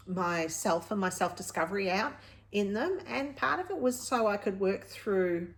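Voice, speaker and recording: Chatty woman in her late fifties. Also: medium pitch. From Australia. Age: late 50s. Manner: candid, rambling, self-correcting. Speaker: vlog host. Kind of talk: casual personal vlog, chatting to viewers.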